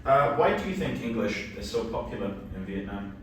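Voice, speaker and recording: A man runs a speaking exam, asking his questions patiently and filling pauses with ums. His voice comes from a distance in a very echoey room. Faint background noise sits underneath. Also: on the low side, big pitch swings. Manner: patient, with frequent fillers. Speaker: speaking test examiner. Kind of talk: conducting a mock exam.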